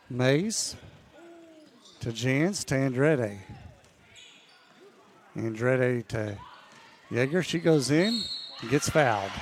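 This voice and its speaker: A man calling a women's college basketball game play-by-play, losing his voice and clearing his throat now and then. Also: mid-range voice, slight background noise. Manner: steady, measured calls that rise on big plays. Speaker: play-by-play announcer.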